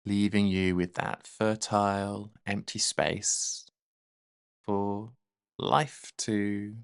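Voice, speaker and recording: A man leading a guided meditation in a gentle, soothing, unhurried manner. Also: on the low side, steady tone, creaky, clean tone, no room sound, clean studio sound.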